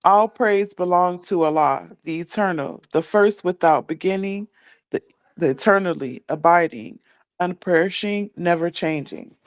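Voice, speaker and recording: A woman's voice: lowish voice, measured pace, no echo. A woman, a student in a class, reading a religious text aloud line by line.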